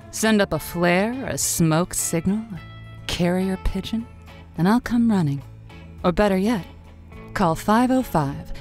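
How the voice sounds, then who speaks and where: A woman's voice: lowish voice, a little mellow, close to an everyday voice. A woman playing a playful, caring late-night radio advice host in an audio drama, with an intimate, teasing, encouraging manner.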